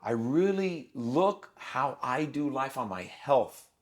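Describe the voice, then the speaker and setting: A man's voice: medium pitch, animated, takes it slowly. Emphatic blunt man in his fifties. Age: 50s. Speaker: guest, business owner. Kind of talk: podcast conversation.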